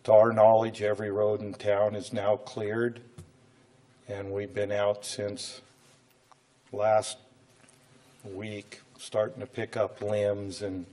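A middle-aged American man speaks slowly and haltingly at a podium, as a city official addressing a council. His voice is on the low side with little melody, and a cold makes it come and go. He keeps his presentation informal and plain-spoken. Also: level tone, warm tone. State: voice coming and going from a cold. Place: indoor council chamber, standing at a podium.

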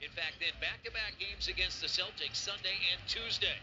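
High-voiced man calling a basketball game. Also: highs cut off, noisy background. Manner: brisk play-calling. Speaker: basketball play-by-play commentator. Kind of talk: broadcast sports commentary.

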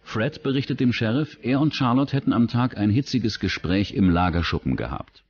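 A man's voice: medium pitch, animated, normal speed, a little mellow, slightly rough, no room sound, highs cut off. A man reading a scripted true-crime documentary as its narrator.

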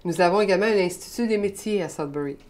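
A woman's voice: mid-range voice, quick pace, a little mellow. An older woman representing a college, explaining composedly and informatively in an indoor office. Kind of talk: interview explanation.

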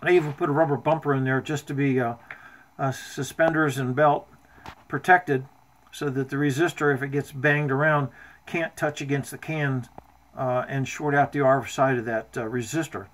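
A man's voice: medium pitch, steady tone, moderate pace, fairly bright tone, a little hiss or hum. A man in his seventies giving an informal, matter-of-fact technical show-and-tell of his homemade radio equipment in a workshop. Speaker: amateur radio hobbyist. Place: indoor home workshop.

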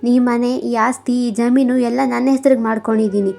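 A woman's voice: fairly high pitch, steady tone, normal speed, creaky, dark tone, faint music behind. A woman voicing a self-serving uncle in a cartoon story, blunt and full of self-justification. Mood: defiant.

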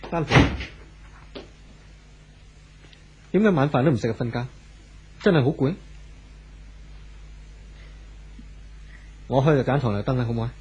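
An actor playing an anxious, devoted husband in a 1970s Hong Kong Cantonese radio drama, earnest and coaxing. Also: male voice, medium pitch, lively intonation, very fast, darkish tone, a little hiss or hum, close to an everyday voice. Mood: gentle, caring. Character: anxious, pleading, devoted wealthy young husband. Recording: dull vintage radio recording with music.

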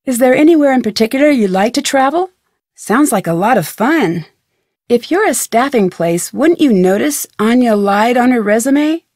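An actress with an American accent playing a curious, friendly young woman detective in a video game, chipper and clearly scripted. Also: a little high, expressive, moderate pace, a little mellow, dry recording, studio quality. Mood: curious, polite. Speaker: video game heroine and tutorial guide. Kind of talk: scripted game dialogue and tutorial narration.

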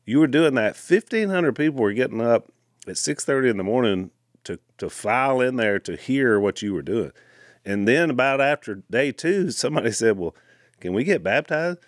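A middle-aged man, co-hosting a podcast and telling stories in a casual, animated way at a studio mic. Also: medium pitch, dry recording. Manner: animated storyteller, quick asides. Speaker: podcast co-host. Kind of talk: casual storytelling chat. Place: wood-paneled studio room. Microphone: studio mic on boom arm, headphones.

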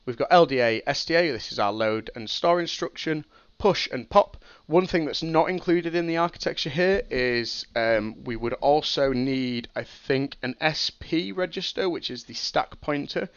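A man's voice: fairly high pitch, normal speed, hardly any pauses, clear, resonant tone, no echo, highs cut off. A young man with a cold, sniffly as he explains a processor design on a casual live stream, thinking aloud with ums and restarts. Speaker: streamer teaching game programming.

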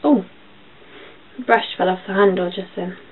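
A young woman with a croaky voice, chatting casually through a makeup tutorial in her bedroom, with filler ums as she goes. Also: lowish voice, moderate pace. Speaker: beauty vlogger. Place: small bedroom. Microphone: built-in webcam mic. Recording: tinny lo-fi webcam audio, faint hiss.

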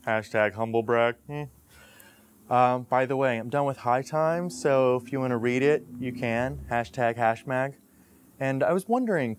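A man's voice: medium pitch, smooth, dry recording, close to an everyday voice. A man playing a prissy, self-satisfied roommate who talks politely and smugly. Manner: polite, smug.

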